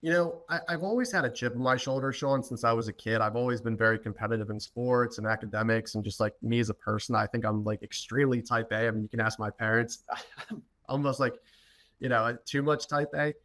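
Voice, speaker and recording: A man in his twenties or thirties talks as a guest in an interview. He speaks informally at a middle pitch, with frequent restarts and repeated words as he tells his own story. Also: a little fast, a bit bright. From United States, Philadelphia. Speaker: podcast guest, entrepreneur. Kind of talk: personal storytelling in a podcast interview.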